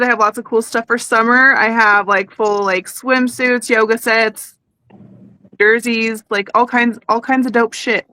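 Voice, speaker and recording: Bright, high-voiced casual woman guest. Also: dry recording, low-quality recording. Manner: slangy, profane. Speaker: guest, small merch business owner. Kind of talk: casual chat, plugs and shout-outs.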